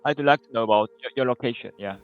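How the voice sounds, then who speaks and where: A man answers interview questions over a video call, hesitant and brief, leaning on long fillers. His voice has a gravelly fry. The call audio is dull and lo-fi, with faint music underneath. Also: mid-range voice, quick pace. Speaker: job applicant.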